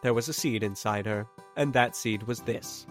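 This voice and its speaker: A man's voice: mid-range voice, moderate pace, natural voice. A man playing a wry, theatrical narrator for a sci-fi comedy trailer. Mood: wry, dramatic. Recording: music behind the voice.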